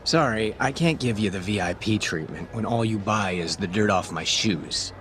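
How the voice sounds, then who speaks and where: An actor playing a smug, corrupt police detective who sells information, breezy and teasing, in an English video game dub. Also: male voice, fairly low pitch, animated, moderate pace, fairly bright tone, a little hiss or hum. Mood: breezy, cocky. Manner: breezy, cocky, wry, teasing.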